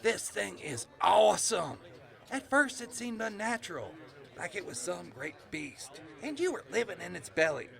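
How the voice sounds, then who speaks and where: A man's voice: high-pitched, very animated, moderate pace, creaky, a bit bright, exaggerated voice. A man playing an eager farm boy in a comic audio drama, speaking in grand, effusive, formal phrases. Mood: earnest, admiring. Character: eager, high-voiced farm boy, florid and earnest.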